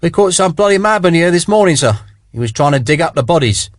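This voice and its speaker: A man's voice: on the high side, expressive, fast talker, no echo, clean studio sound, pitched above the performer's own voice, close to an everyday voice. A man voicing a young army sentry giving a curt, blunt report to an officer. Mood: gruff.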